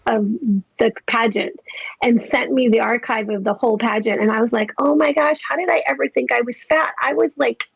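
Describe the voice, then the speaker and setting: Chatty woman in her fifties, gravelly. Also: fairly high pitch, fairly even intonation, moderate pace, no room sound, highs cut off. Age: 50s. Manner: chatty, lots of likes and restarts. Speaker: podcast guest. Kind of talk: casual interview chat.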